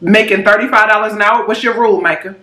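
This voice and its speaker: Lively woman co-host, kinda sharp tone, roomy echo. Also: medium pitch, fast talker. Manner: lively, outspoken, steers the talk with questions. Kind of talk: casual chat.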